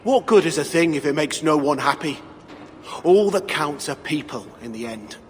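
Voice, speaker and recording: An actor playing a weary, bitter, downtrodden dwarf refugee in a video game, speaking in a sombre, plaintive manner. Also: male voice, a little high, expressive, normal speed, close to an everyday voice. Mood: resigned. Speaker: dwarf character. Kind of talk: game cutscene acting. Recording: long hall reverb, faint background noise.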